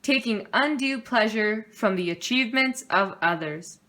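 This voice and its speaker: A woman's voice: fairly high pitch, expressive, a little slow, sharp tone. A woman voicing a formal male school principal bear in a storybook, announcing spelling words precisely.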